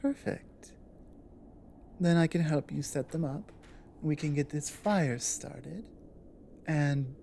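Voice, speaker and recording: A woman playing a strong, gentle orc warrior in an intimate ASMR roleplay, soft-spoken and halting, thoughtful and earnest. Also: low-pitched, a little hiss or hum. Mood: casual, confident.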